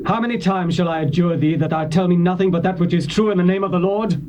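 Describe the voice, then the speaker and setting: A man's voice: high voice, fast, mellow, close to an everyday voice. An actor playing an imperious, resentful king, commanding and declamatory in a dramatized Bible reading. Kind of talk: dramatized Bible reading, classical stage acting. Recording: old cassette with faint noise.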